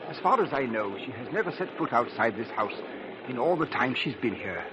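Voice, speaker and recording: An actor playing an elderly, kindly, fussy parish priest with a doddering, hesitant manner in a 1940s radio drama. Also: male voice, medium pitch, sing-song, a little fast, lo-fi sound, close to an everyday voice. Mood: genial, confiding. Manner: doddering, hesitant, muttering exclamations. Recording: very noisy old 1940s radio recording.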